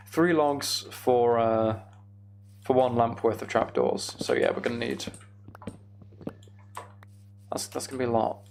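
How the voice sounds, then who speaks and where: A man's voice: mid-range voice, slow pace, gravelly, pauses often, a little mellow, a little hiss or hum. A man streaming a video game, chatting casually and thinking aloud in a rambling way.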